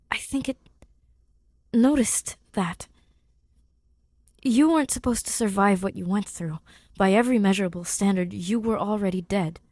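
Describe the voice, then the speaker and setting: A woman playing an excited male scientist in an audiobook, explaining a theory emphatically and thinking aloud in pauses. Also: medium pitch, no room sound, natural voice.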